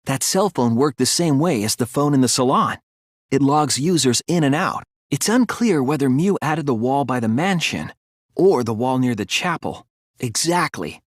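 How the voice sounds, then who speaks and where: A man voicing an earnest young male detective in a video game, thoughtful and anguished but resolute, with deliberate, analytical phrasing. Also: medium pitch, animated, dry recording, studio quality, lightly acted. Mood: analytical, serious. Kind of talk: dramatic video game voice acting, deductive explanation.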